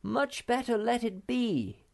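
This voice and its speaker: A woman's voice: on the high side, big pitch swings, slow pace, gravelly, a little mellow, pitched above the performer's own voice, exaggerated voice. A woman voicing a cautious, sensible male wanderer with a firm, emphatic way of warning, for a storybook.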